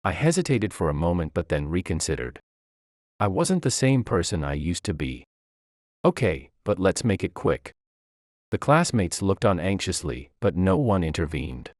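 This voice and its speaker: A male narrator reads a first-person story from a script. His voice is low, with lively movement in pitch, and the recording is very dry and close, free of room echo. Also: moderate pace.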